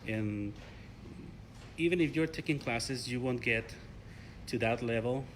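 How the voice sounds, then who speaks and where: A young man from Mexico with a Mexican Spanish accent, a native Spanish speaker answering interview questions in a halting way with fillers and restarts. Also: medium pitch, slight background noise. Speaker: interview guest, ESL learner.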